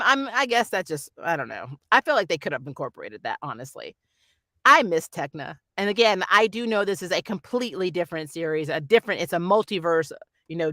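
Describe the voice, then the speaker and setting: A host chatting casually through a fan review, animated and blunt, with plenty of slang. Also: medium pitch, dry recording, clean studio sound. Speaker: review host reading viewer comments.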